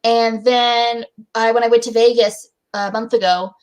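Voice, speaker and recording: Female, American, higher register, casual rambling livestream host. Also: steady tone, a little slow, clean tone. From Utah, United States. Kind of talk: casual chat.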